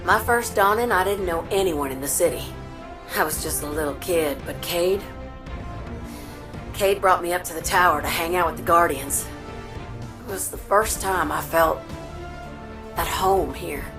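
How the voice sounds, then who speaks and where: A woman's voice: fairly low pitch, a little slow, pauses often, over music. A woman playing an earnest, wistful game character, tender in her delivery. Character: earnest, wistful woman remembering a lost friend. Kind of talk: scripted video game voice acting.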